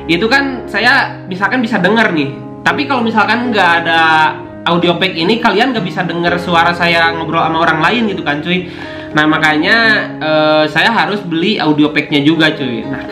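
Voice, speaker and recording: A young man casually chatting to camera about his motorbike vlogging gear, full of slangy fillers. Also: sits high. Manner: slangy, keeps saying "cuy", many fillers. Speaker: motovlogger YouTuber. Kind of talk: casual unboxing chat to camera. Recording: echoey room with guitar music.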